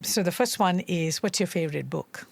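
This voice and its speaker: A woman's voice: fairly low pitch, moderate pace, vocal fry, no room sound. A woman from Singapore hosting an interview, asking simple questions in a conversational way. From born in Sri Lanka, moved to Singapore at seven. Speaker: interview host. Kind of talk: conversational interviewing with short listening responses.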